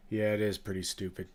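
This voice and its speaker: A man with a low-set, congested voice, audibly nasal from a stuffy nose. He talks to his stream viewers in a loose, rambling way, drifting between thoughts with plenty of ums and restarts. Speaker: livestream host and ghost-hunting event organizer. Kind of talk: casual livestream chat with viewers.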